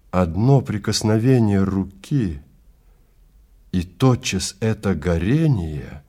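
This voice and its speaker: A man narrating literary fiction in a theatrical, measured manner with deliberate phrasing. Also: on the low side, mellow.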